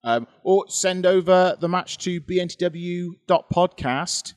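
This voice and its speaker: A man's voice: sits high, a little slow. A young man co-hosting a podcast with casual, crude, jokey banter into a handheld microphone. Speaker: podcast co-host. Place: small furnished room indoors.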